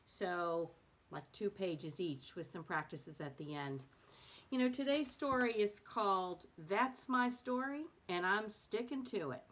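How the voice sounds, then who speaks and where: A woman hosting a read-aloud, sharing a self-help book in a casual, slightly halting way with small self-corrections. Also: medium pitch, expressive, fairly bright tone. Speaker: host of a read-aloud series.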